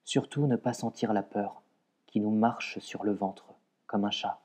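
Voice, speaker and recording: A man reciting poetry in a measured way, pausing between short phrases. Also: lowish voice, steady tone, darkish tone. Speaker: poetry reader.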